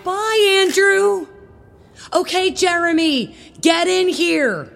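Bossy shrill church lady, super high, calling out slow, big echoey hall. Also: vocal fry, a bit bright, faint music behind, full character voice. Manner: calling out, drawn-out, commanding. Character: bossy, shrill woman marshalling children for confession. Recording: distant, reverberant hall.

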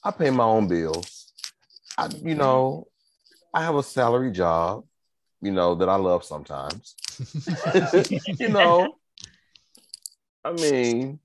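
A man's voice: medium pitch, sing-song, slow pace, pauses often, slight background noise. A thirty-seven-year-old man co-hosting a podcast in casual chat, laid-back and teasing, swearing freely. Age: 30s. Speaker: podcast co-host.

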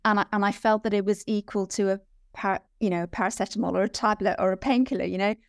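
A woman's voice: mid-range voice, steady tone, quick pace, dry recording, clean studio sound. A middle-aged woman with a Welsh accent, speaking conversationally as an interview guest, often repeating phrases for emphasis. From Wales. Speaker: podcast guest.

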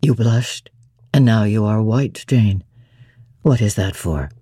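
A woman voicing a middle-aged gentleman lover in a classic novel, tender, teasing and commanding. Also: extremely low pitch, expressive, slow, husky, no echo, clean studio sound, voice pushed down, full character voice. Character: deep-voiced adoring masterful gentleman lover, middle-aged. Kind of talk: dramatic classic novel reading.

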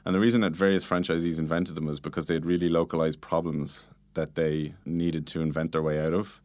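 A man's voice: extremely low pitch, level tone, a little fast, a little mellow, no room sound. A man being interviewed, explaining things casually and informally with lots of "like" and "you know". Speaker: interview guest, product manager. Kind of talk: casual conversational interview answers.

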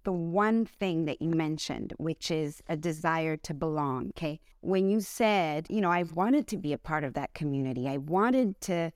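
A woman from the United States answering as a podcast guest, in a measured, explanatory way. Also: low-pitched, expressive, a little mellow, no room sound, clean studio sound. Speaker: podcast guest, communication consultant. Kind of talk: interview answer.

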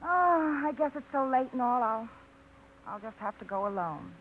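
A woman's voice: fairly high pitch, sing-song, moderate pace. An actress in a 1950s radio play, playing a sincere, modest single woman who speaks earnestly and plainly. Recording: muffled, noisy 1950s radio recording.

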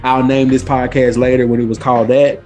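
A man's voice: mid-range voice, little pitch movement, a little fast, darkish tone, soft background music. A man in a casual podcast debate, giving his opinions informally and repeating phrases for emphasis. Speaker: guest podcaster.

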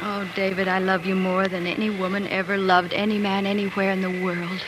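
An actress playing a devoted, excitable young pioneer bride, telling her story in earnest, lyrical 1940s radio drama style. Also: medium pitch, brisk, background noise, close to an everyday voice. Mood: tender. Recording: old 1940s radio broadcast, music underneath.

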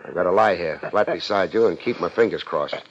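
A man's voice: low-pitched, fairly quick, heavy background noise, lightly acted. An American man playing a hard-boiled, wisecracking private investigator in a 1950s radio drama, sardonic and unruffled. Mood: urgent, grim. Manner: sardonic, unruffled, wry first-person narration and blunt questioning. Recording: muffled 1950s radio recording with music.

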